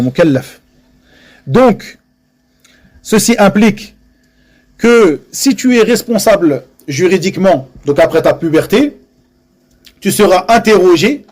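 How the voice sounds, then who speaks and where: A man's voice: sits high, darkish tone. A man teaching a religious lesson to a live audience in French, earnest and emphatic, repeating key phrases and dropping Arabic religious terms into his speech.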